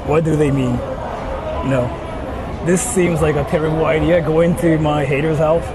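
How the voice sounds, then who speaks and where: Higher-pitched man streaming over street noise. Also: steady tone, moderate pace. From Japan. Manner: rambling, repetitive, filler-heavy with frequent "like". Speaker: live streamer. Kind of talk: casual livestream chat with viewers. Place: out and about in public while livestreaming. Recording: noisy outdoor urban and traffic ambience.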